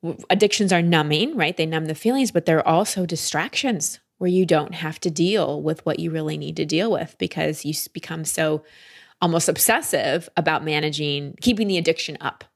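A woman who hosts a podcast and coaches people, with a voice a little lower than most. She talks in a gentle, reflective way and affirms her guest. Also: moderate pace. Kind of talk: empathetic interview.

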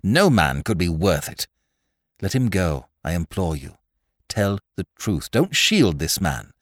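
Man acting an earnest, distressed detective, swinging in pitch. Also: big pitch swings, unhurried, no room sound, clean studio sound, full character voice. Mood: imploring. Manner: emphatic, repetitive, formally courteous. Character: earnest police detective, protective, distressed, imploring.

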